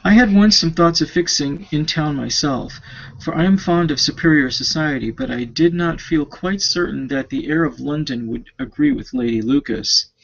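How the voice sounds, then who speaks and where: A man reading the part of an affable, chatty English gentleman in a novel, with formal, courtly, wordy phrasing. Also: a little high, moderate pace, vocal fry. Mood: gallant. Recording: dull lo-fi home recording.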